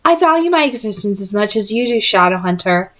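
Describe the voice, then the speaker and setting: A woman's voice: mid-range voice, animated, a little fast, a bit bright, close to an everyday voice. A woman voicing a haughty, scornful aristocratic female vampire in a storybook, formal and imperious.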